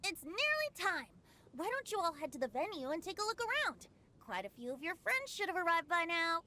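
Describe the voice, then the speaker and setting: A woman's voice: very high voice, sing-song, a little fast, pushed and bright, slight background noise, exaggerated voice. A woman voicing a cheerful, carefree boyish young bard in an anime video game, playful and poetic. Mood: coaxing. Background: game music.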